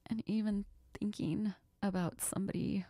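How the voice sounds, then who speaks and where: Woman acting a tearful, breathy heartbroken young friend. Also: medium pitch, fairly even intonation, mellow, dry recording, lightly acted. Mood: tearful, affectionate. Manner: halting, breathy, often near-whispering. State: tearful, sniffling. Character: heartbroken young woman, self-doubting, sassy best friend. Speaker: audio role-play performer. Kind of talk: intimate girlfriend audio role-play acting.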